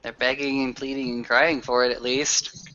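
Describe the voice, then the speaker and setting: A man's voice: mid-range voice, flat delivery, moderate pace, pushed and bright, smooth. A man playing a tabletop roleplaying game, casually narrating his character's actions over a lo-fi voice chat. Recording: dull noisy lo-fi voice chat, clicks.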